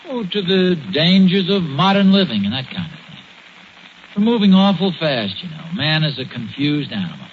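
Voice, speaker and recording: Through a dull, faintly noisy 1940s radio recording comes an earnest American suburban dad. His voice is fairly high and swoops as he lectures, a bit pompous and easily flustered. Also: big pitch swings, normal speed. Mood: earnest musing. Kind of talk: 1940s radio sitcom acting.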